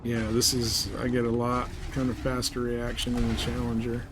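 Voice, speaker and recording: A man's voice: medium pitch, completely flat delivery, normal speed, background noise. A man live streaming a space game, giving casual commentary and thinking aloud to himself and his viewers.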